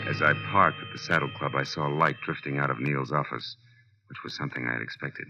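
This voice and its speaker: An actor playing a tough, wisecracking American private detective in 1940s radio style, clipped and wry. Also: male voice, a bass voice, fairly even intonation, a little fast, vocal fry, over music. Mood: wry, brooding. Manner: clipped, wry, hard-boiled. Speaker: lead actor and narrator. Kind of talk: 1940s radio detective acting, hard-boiled first-person narration and dialogue. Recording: muffled vintage radio recording.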